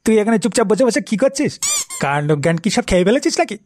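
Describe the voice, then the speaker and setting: A man's voice: high voice, very animated, very fast, darkish tone, a little hiss or hum. A man voicing an irate, foul-mouthed old village father in a comedy cartoon, scolding with coarse sarcasm. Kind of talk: Bengali comedy cartoon acting.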